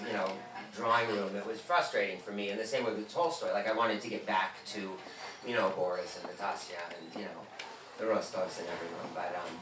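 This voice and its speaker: A man moderating a bookstore conversation, asking long rambling questions full of fillers. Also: fairly low pitch. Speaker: event moderator. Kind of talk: conversational interview. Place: bookstore event room. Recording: echoey room, distant mic, background noise.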